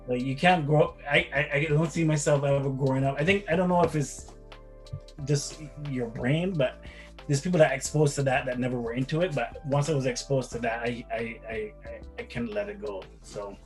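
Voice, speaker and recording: A man's voice: mid-range voice, moderate pace. Middle-aged male interview guest from Barbados, loose rambling delivery, mid-range voice, music underneath. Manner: rambling, repeats words, says "like" and "right?" often. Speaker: interview guest, father of the other guest. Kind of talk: casual interview answers.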